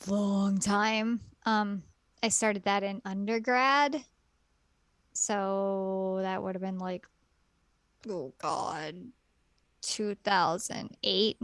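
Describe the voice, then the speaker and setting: A woman's voice: medium pitch, clean tone, no echo. A woman co-hosting a casual podcast chat, rambling and giggly with lots of fillers. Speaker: podcast co-host.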